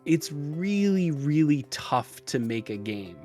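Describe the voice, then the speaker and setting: A man's voice: fairly high pitch, sing-song, slow, a little mellow. Man chatting as a guest over music. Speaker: podcast guest who runs a tutorial channel. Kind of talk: casual interview conversation. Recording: music mixed under the voice.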